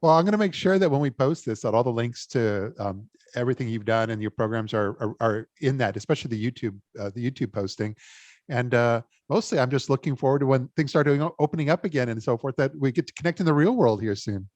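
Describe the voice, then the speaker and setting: A man's voice: medium pitch, quick pace, darkish tone, no room sound. Man, interview host, hesitant, lots of restarts, dry close sound. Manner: hesitant, many fillers and restarts. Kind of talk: casual interview talk.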